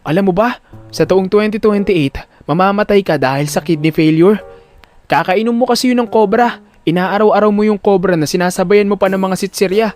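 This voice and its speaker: A man's voice: high-pitched, brisk, a little mellow, pitched above the performer's own voice, natural voice. A man voicing a cheeky, nostalgic teenage schoolboy who rambles and teases in an audio drama. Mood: fond, earnest.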